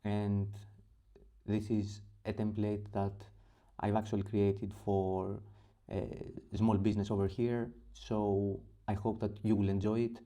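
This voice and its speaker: A man from Greece speaking English as his second language with a Greek accent, walking through a spreadsheet template in a halting tutorial full of pauses and fillers. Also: on the low side, flat delivery, dark tone. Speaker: template creator. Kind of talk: screen-recorded software tutorial.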